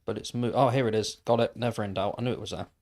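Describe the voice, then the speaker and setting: A man's voice: lowish voice, fairly even intonation, very fast, a little mellow. Man musing aloud while gaming. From Wales. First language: Welsh. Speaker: gaming livestreamer. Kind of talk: casual chat while playing.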